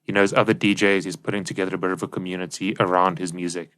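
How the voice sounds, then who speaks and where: Low-voiced man. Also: flat delivery, fast, fairly bright tone. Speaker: podcast co-host. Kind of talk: explanatory podcast discussion.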